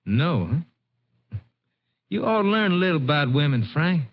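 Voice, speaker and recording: An actor playing a menacing, greedy, resentful farm brother with an insolent rural Texan drawl in an old radio western. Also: male voice, a little high, very animated, moderate pace. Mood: needling. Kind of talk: vintage radio western drama acting. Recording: muffled vintage radio recording.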